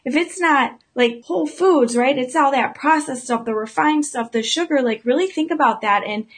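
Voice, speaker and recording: Eager, higher-voiced woman host. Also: normal speed. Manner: eager and affirming, stresses words with rising emphasis. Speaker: podcast host. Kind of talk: casual interview chat.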